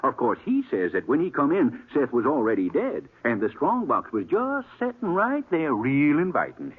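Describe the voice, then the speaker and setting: An actor playing a garrulous, easily huffy small-town cafe owner in an old-time American radio western, with a husky, folksy, rambling delivery. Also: male voice, mid-range voice, wildly swooping pitch, moderate pace. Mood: gossipy. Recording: dull, lo-fi old radio recording.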